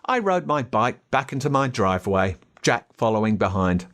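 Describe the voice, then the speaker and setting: An Australian man narrating a children's story in a dramatic, expressive way. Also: mid-range voice, moderate pace. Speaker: audiobook narrator.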